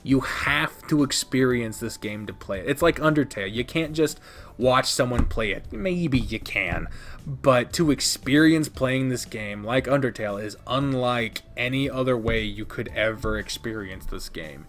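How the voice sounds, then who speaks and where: A man's voice: mid-range voice, expressive, measured pace, fairly bright tone, slight background noise. A man hosting a gaming channel, giving casual, opinionated commentary with emphatic delivery and frequent swearing. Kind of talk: casual ranked-list video commentary.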